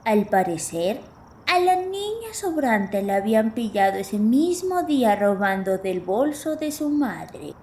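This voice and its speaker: A woman playing a wry, folksy grandmother who tells grim tales in a slow, dramatic way for a horror audio drama. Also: a little high, big pitch swings, darkish tone, a little hiss or hum. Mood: confiding. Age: older.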